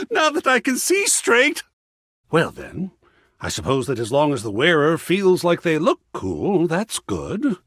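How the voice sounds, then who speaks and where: An actor playing an excitable, kindly, philosophical art gallery curator in a video game, speaking in a florid, theatrical way that savors words. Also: male voice, on the high side, husky, dry recording, clean studio sound, full character voice. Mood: gleeful. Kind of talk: theatrical game character dialogue.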